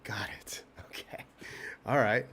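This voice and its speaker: A man in casual podcast banter, teasing and swearing freely as he talks fast over the other speaker. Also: in a whisper. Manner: profane, animated teasing. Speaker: podcast guest.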